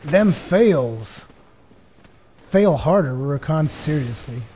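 A young man from Portugal, a native Portuguese speaker, giving jokey rambling let's play commentary on a headset as his voice grows tired and hoarse. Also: on the high side, expressive, takes it slowly, warm tone, a little hiss or hum. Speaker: gaming commentator.

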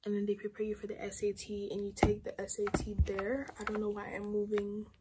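A woman's voice: medium pitch, monotone, fairly quick, very noisy. A young woman in her teens chatting casually to her phone camera in her bedroom, rambling with lots of "like" as she talks through her plans. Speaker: vlogger. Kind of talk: casual vlog chat to camera. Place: bedroom at home, indoors. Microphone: phone camera at arm's length.

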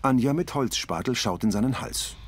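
A man's voice: medium pitch, lively intonation, moderate pace, slight background noise. A man reading scripted audio description for a TV crime comedy in a neutral, matter-of-fact way.

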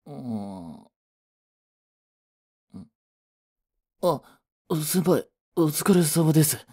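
A man playing a young office worker, a proud but regretful boyfriend, in a romantic voice drama with a soft, breathy delivery. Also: on the high side, big pitch swings, very slow, heavily acted. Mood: flustered, polite. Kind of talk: romantic voice drama acting with introspective narration.

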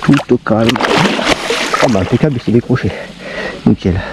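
A man's voice: mid-range voice, moderate pace. A French man filming his own fishing, giving casual running commentary to himself outdoors by a river. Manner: muttering to himself, bursts of excited swearing. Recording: outdoor riverbank with loud water noise.

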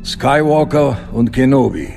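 Gravelly old dark lord, formal, deferential, measured, radio drama, faint music. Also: male voice, mid-range voice, lively intonation. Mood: grave, attentive. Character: dignified old male Sith apprentice, deferential dark lord. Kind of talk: German science-fiction radio drama acting.

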